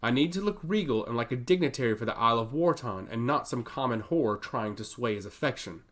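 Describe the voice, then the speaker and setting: A man's voice: mid-range voice, expressive, fairly quick, a bit bright. A man voicing a proud, diplomatic noble sister in a fantasy audiobook, with haughty, articulate delivery. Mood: indignant, earnest.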